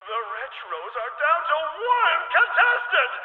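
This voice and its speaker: An actor playing an excitable, showy game show arena announcer with theatrical, emphatic delivery over a reverberant PA. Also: male voice, very high-pitched, measured pace, narrow, tinny audio, heavily acted. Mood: showy, excited. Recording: very echoey arena PA, band-limited radio-like sound.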